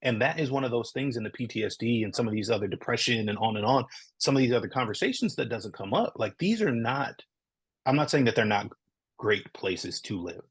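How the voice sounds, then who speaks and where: A man's voice: medium pitch, expressive, brisk, slightly rough. A man from Southern California, a comic creator speaking earnestly in a casual podcast interview, often checking in with "right?".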